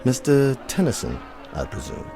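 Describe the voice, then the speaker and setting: Gravelly upper-crust colonel, formal n condescending, radio play with music behind. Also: male voice, mid-range voice, sing-song, normal speed. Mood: brisk, formal. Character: wealthy, particular old-fashioned club colonel. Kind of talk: radio drama acting.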